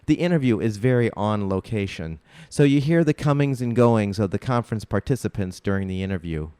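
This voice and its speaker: Smooth, low-voiced radio host. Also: male voice, expressive, darkish tone, dry recording. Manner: measured, scripted announcing. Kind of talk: community radio hosting.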